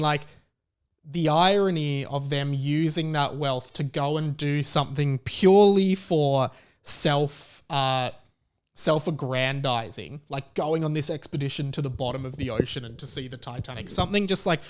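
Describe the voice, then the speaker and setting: A man giving a casual opinion monologue like a podcast host, conversational and halting, with emphatic stresses on key words. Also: a little high, clear, resonant tone.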